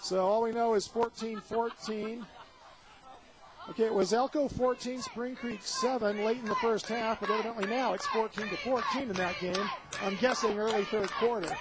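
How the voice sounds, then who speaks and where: A man's voice: high-pitched, fairly even intonation, moderate pace. An American man calling live play-by-play of a football game for radio. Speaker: play-by-play commentator. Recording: noisy outdoor stadium radio broadcast.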